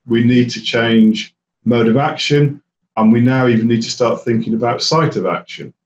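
A man, a herbicide specialist answering webinar questions in a measured, explanatory way with fillers and restarts. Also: medium pitch, steady tone. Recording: roomy, distant and dull audio.

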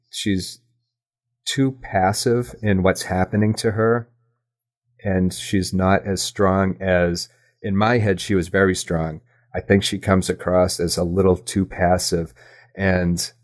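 A man's voice: sits low, darkish tone. A man speaking as an interview guest, thoughtful and self-critical, choosing his words hesitantly in casual talk. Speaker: podcast guest, audio drama writer.

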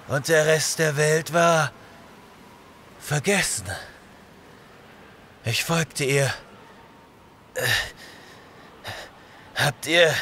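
Man playing a gravelly young barbarian, slow and dazed. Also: mid-range voice, pushed and bright, slight background noise. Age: young adult. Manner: brooding, halting delivery. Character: young barbarian warrior, exhausted, dazed, intense. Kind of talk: audio drama acting.

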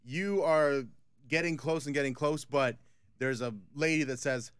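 A man in his forties hosting a podcast, joking crudely in a small studio at a boom mic. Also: measured pace, smooth, no room sound. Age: 40s. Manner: laid-back, crude jokes. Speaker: show host. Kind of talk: casual podcast chat. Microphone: studio mic on boom arm.

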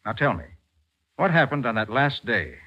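An actor playing a brisk, authoritative private detective in a 1940s radio drama, crisp and commanding but polite. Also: male voice, mid-range voice, big pitch swings. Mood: polite, measured. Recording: muffled, hissy 1940s radio broadcast.

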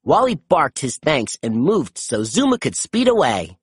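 An expressive narrator reads a children's storybook aloud. The voice is medium in pitch and swings widely for storytelling. It has a husky edge and a dry, close sound. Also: unhurried, dry recording.

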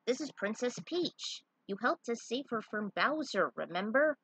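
A woman's voice: fairly high pitch, big pitch swings, measured pace, fairly bright tone, breathy edge, no room sound, full character voice, low-quality recording. A woman playing a friendly, eager plumber man in a story, speaking earnestly. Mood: hopeful.

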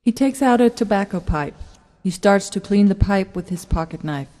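A woman's voice: medium pitch, lively intonation, moderate pace, warm tone, natural voice. A woman narrating stage directions for a radio drama in short, scripted, matter-of-fact sentences, with a reverberant sound. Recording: long reverberant hall echo.

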